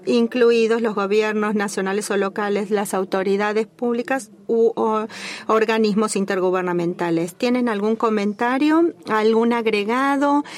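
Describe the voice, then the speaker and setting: A woman giving a simultaneous interpretation of a formal working-group call, speaking in a measured, formal way with small restarts. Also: on the high side.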